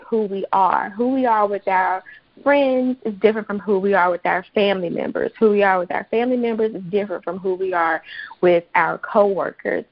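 An American woman from Mississippi giving explanatory interview answers as a therapist, in articulate, measured professional phrasing. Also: medium pitch, no room sound, dull audio. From Mississippi, United States. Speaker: psychotherapist, interview guest.

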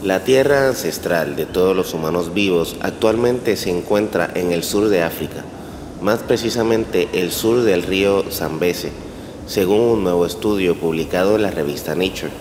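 A man narrating a scripted documentary-style report as a narrator. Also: normal speed. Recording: echoey reverberant clip with rumbly noise.

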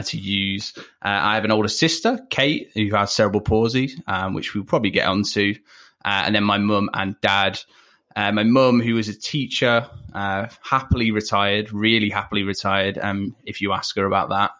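A man's voice: fairly low pitch, bright, forceful tone. A man in his twenties with a Leicester accent, a podcast guest chatting casually from home and rambling with lots of ums and wry asides. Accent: Leicester English. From England. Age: 20s. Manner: rambling, full of ums and self-deprecating asides. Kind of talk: casual interview chat. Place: home, remote call.

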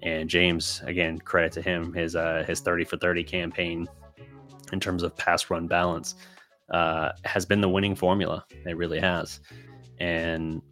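A man with a very deep voice runs his own sports podcast, reading out listener questions and talking them through. His voice has turned scratchy and a little raspy after a long session. Faint music plays behind him. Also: little pitch movement, moderate pace, fairly bright tone. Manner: thinking aloud, with restarts and fillers. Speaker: podcast host.

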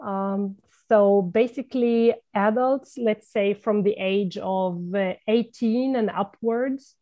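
A woman with a Levantine Arabic accent, a language teacher and former journalist, speaking as a podcast guest in halting speech full of fillers and restarts. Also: mid-range voice, dark tone. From Palestine. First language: Palestinian Arabic. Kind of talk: conversational podcast interview.

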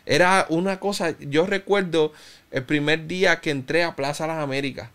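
A man in his thirties, born in Cuba, with a Cuban Spanish accent softened by years in Puerto Rico, giving a personal testimony in a halting, reflective way with many fillers and restarts. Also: fairly high pitch, steady tone, clear, resonant tone. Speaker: interview guest.

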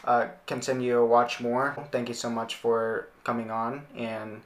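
A young man hosting a casual podcast interview, speaking haltingly and repeating words as he thinks. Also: medium pitch, little pitch movement, background noise. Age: young adult. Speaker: podcast host. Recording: roomy, echoing sound.

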